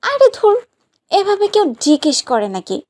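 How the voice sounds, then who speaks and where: A woman's voice: extremely high pitch, huge pitch swings, normal speed, darkish tone, exaggerated voice. A woman playing a playful, sweetly bossy young new bride in a romantic audio story, with a pouty, teasing, insistent manner. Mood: pouty, exasperated.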